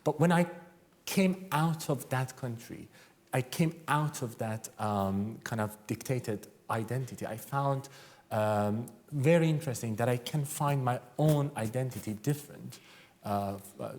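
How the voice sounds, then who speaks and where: Halting Iranian-accented man, medium pitch. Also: a little mellow. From Iran. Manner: halting, hesitant non-native English. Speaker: panellist, journalist. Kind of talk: personal account in panel discussion.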